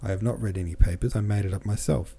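A man's voice: lowish voice, fast talker, vocal fry, breathy edge. A man reading a stubborn young tradesman. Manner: stubborn, flat denial. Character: obstinate young male tradesman's son.

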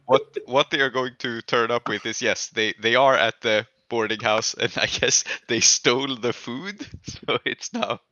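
A man's voice: mid-range voice, measured pace, few pauses, bright, forceful tone, dry recording, lo-fi sound. A man speaking casually and with amusement as he improvises narration as a tabletop game master.

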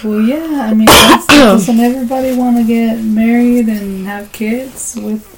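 A woman's voice: fairly high pitch, fairly even intonation, a little slow, low-quality recording. A woman in her forties co-hosting a casual podcast, agreeable and hesitant, with many fillers. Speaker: podcast co-host. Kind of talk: casual chat.

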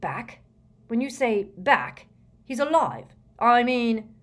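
A storybook Prime Minister, put on by a woman, male, pompous and out of his depth. He splutters in halting, indignant phrases with very lively intonation. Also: a little high, pitched above the performer's own voice, heavily acted. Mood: shocked.

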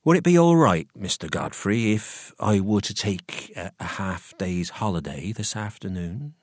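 A mournful woman who works in a shop, from an audiobook voiced by a male narrator. She mutters and moans in a dazed, halting way, with wide swings of pitch in a dry recording. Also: wildly swooping pitch, fairly bright tone, slightly rough, dry recording, heavily acted. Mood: subdued. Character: mournful, dazed female chemist's shop assistant. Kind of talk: audiobook character dialogue.

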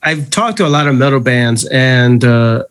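A man's voice: mid-range voice, lively intonation, normal speed, fairly bright tone, smooth. A man from New Jersey hosting a podcast interview in a casual, informal way, joking and swearing freely. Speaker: podcast host interviewing a band. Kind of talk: casual chat.

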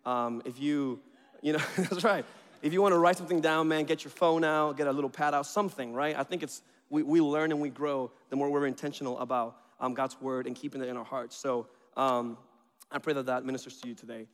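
A man in his thirties from Venezuela, whose first language is Spanish, preaching in a chatty, joking way with bursts of excitement. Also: medium pitch, brisk. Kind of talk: informal sermon introduction. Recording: reverberant hall.

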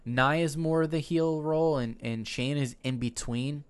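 A man's voice: on the high side, a little slow, clear, resonant tone. A man co-hosting a podcast, chatting casually about wrestling, loose and joking. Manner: laughs often, loose and joking. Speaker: podcast co-host.

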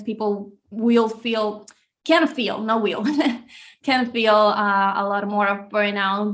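A woman's voice: a little high, a bit bright. A woman from Venezuela, answering interview questions as a guest author in an earnest, upbeat way, often with a short laugh.

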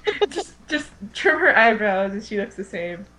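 A woman's voice: medium pitch, big pitch swings, normal speed, crowd noise, low-quality recording. A giggly woman chatting casually as a co-host on a fan podcast.

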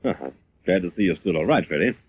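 A confident gentleman sleuth of old radio drama. He argues briskly in a low, animated voice, with a hissy, muffled recording around him. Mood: concerned. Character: calm, confident, suave gentleman amateur sleuth. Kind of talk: 1940s radio acting.

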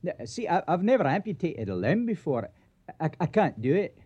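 An actor playing a nervous, reluctant frontier doctor who stammers in a soft, husky voice in a western radio drama. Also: male voice, a little high, very animated, normal speed. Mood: flustered. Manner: hesitant, stammering. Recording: muffled old radio recording.

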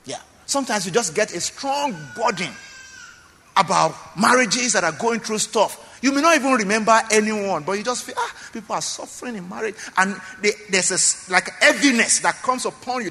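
Animated man preaching in a hall. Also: sits high, normal speed, a little hiss or hum. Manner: emphatic preaching with repeated phrases and questions to the congregation. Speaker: pastor. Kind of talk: sermon. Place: church.

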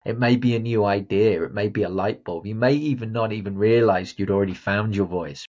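A man's voice: on the low side, a little fast, darkish tone, muffled recording. A man hosting a motivational solo podcast, talking bluntly and directly to the listener. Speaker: podcast host and confidence coach.

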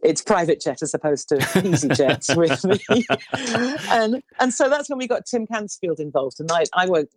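A woman in her sixties, a singer with a low baritone voice, telling stories in a rambling interview chat with many restarts. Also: lively intonation, moderate pace. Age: 60s. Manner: rambling, warm storytelling with restarts.

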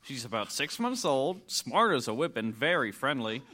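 Suburban father, played by a man, animated and forceful, earnest, upbeat, placating, live audio drama. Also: medium pitch, normal speed. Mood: cheerful. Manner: earnest, eager.

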